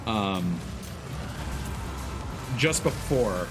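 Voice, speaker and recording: Male streamer, slightly deep voice, casual hesitant gameplay explainer over faint music. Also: animated, extremely slow. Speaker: video game live streamer. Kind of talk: casual livestream gameplay commentary, explaining to chat. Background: music behind the voice.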